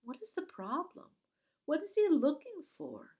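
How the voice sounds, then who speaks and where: A woman's voice: very high voice, very animated, a little mellow, pitched above the performer's own voice, heavily acted. A woman reading aloud, voicing a clever young girl who bluffs her way past soldiers with a rush of talk. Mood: anxious. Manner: chattering, spilling words out fast. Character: quick-talking clever peasant girl, bluffing.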